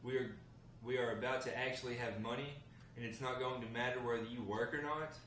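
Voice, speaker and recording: A man's voice: medium pitch, fairly even intonation, a little fast, fairly bright tone, natural voice. A man reading the part of a confident, defensive husband who is joining a gang, in a drama script table read. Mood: defensive, insistent. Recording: echoey room, distant mic, faint noise.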